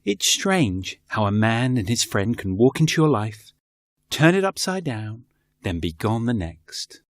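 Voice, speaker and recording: A man's voice: mid-range voice, sing-song, unhurried, dry recording. A man playing a polite, earnest former politician turned author in an audio drama, speaking in a genteel, reflective way. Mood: earnest, wistful.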